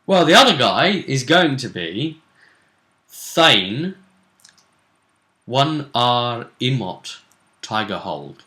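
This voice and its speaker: A man's voice: mid-range voice, very animated, drawn-out words, long pauses, bright, forceful tone, roomy sound. A man chatting casually as host about a tabletop role-play game, musing aloud and often prompting his co-host with questions.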